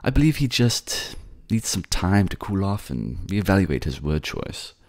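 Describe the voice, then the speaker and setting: A man's voice: low-pitched, big pitch swings, unhurried, voice pushed up, exaggerated voice. A man voicing a protective, affectionate prince in an audio romance drama, with theatrical, courtly phrasing and a put-on accent. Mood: exasperated, reassuring. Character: protective, tender prince soothing his beloved, scolding rivals.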